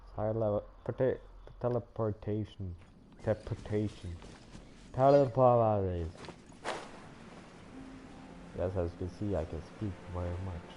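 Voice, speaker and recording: A man's voice: lowish voice, a little slow, pauses often, mellow, slight background noise. A man giving casual live commentary as a game streamer. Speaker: game livestreamer.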